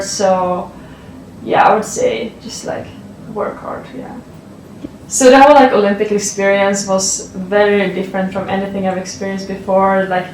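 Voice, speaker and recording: A woman in her twenties from Sweden with a Swedish accent, giving relaxed interview answers full of fillers as a college athlete. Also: mid-range voice, recorded from a distance, a little hiss or hum. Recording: roomy, off-mic, faint ambience.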